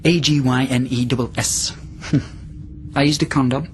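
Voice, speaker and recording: A man's voice: mid-range voice, steady tone, unhurried, a little hiss or hum, natural voice. A man acting in a radio drama as a volatile captive from centuries past, probing and rambling, swinging between tender and menacing. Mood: probing, nostalgic.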